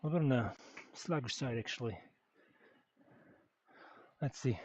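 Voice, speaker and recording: A man's voice: medium pitch, expressive, unhurried, husky, no room sound. A man chatting while riding. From Germany. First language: German. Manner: easygoing, thinking aloud with many fillers. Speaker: streamer riding an indoor bike session. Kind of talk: casual livestream chat, answering viewers while riding. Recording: dull, dry lo-fi sound.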